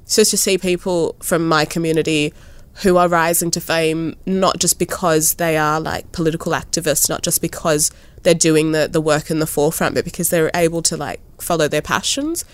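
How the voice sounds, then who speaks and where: Low-voiced young woman, thoughtful and chatty. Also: normal speed. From born in Ethiopia, raised in Kenya and Australia. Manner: thoughtful, frequent "like" and "you know", lively rises. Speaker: interviewee, artist. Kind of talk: casual conversational interview.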